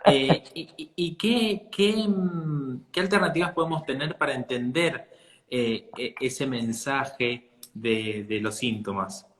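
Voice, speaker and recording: An interviewer from asking hesitant questions with soft backchannel replies. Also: medium pitch, slow, reverberant. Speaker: interviewer host.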